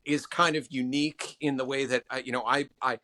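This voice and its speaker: Bright-voiced man with halting speech. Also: fairly even intonation. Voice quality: low voice. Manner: halting, many restarts and fillers. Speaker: songwriter guest. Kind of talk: podcast interview answers.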